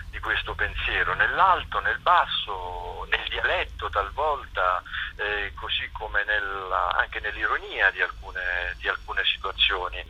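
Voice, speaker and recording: A man giving explanatory interview answers as a theatre director, hesitant and searching for words, with a husky voice. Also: on the low side, bright, forceful tone, poor audio. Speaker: theatre director and author interviewed about a play.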